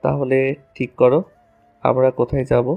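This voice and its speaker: A man's voice: mid-range voice, flat delivery, moderate pace, creaky, dull audio, soft background music, natural voice. A man playing a playful, romantic young husband in an audio love story, teasing and tender.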